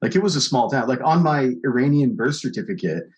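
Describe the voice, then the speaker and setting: A man's voice: mid-range voice, animated, a little fast. A man in his forties from Canada, a podcast guest telling stories in a loose, rambling way with lots of fillers. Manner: rambling storyteller, leans on fillers. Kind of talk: casual conversation.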